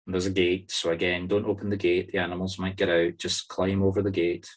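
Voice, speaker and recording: Deep-voiced Scottish man guiding a meditation. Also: level tone. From Scotland. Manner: soothing, slow pauses between short instructions. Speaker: spiritual medium leading a meditation group. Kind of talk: guided meditation. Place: online video-call circle. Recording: video call audio.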